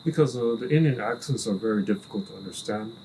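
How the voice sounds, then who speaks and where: A man's voice: fairly low pitch, fast talker, a little mellow, slight background noise, low-quality recording. A man joining a casual cigar podcast as a friend and giving his opinions in a plain, matter-of-fact way. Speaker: guest friend. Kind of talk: casual chat. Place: relaxed cigar-smoking hangout with friends.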